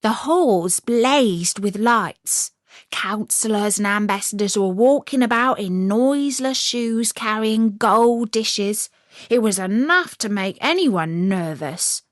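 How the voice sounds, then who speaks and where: A woman storyteller reads a fairy tale at an unhurried pace, her pitch moving widely and expressively. The recording is dry and close, with a measured storybook delivery. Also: expressive, no echo. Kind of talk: scripted fairy tale narration.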